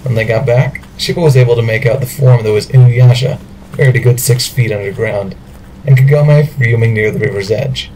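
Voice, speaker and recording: A man's voice: mid-range voice, brisk, a little mellow, a little hiss or hum, rough recording. A man reading scripted narration for an amateur audio drama.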